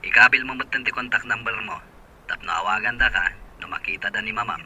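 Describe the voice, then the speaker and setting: A man's voice: medium pitch, quick pace, pushed and bright. An actor playing a practical, reassuring man in a radio drama, heard through a telephone filter. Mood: concerned, practical. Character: practical, reassuring adult man helping a search. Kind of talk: Ilocano radio drama acting. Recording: narrow phone sound, faint noise.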